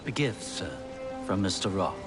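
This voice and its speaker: A man's voice: low-pitched, lively intonation, moderate pace, loud music behind the voice. An actor playing a deferential, formal henchman delivering an ominous warning in a video game cutscene. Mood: polite, ominous.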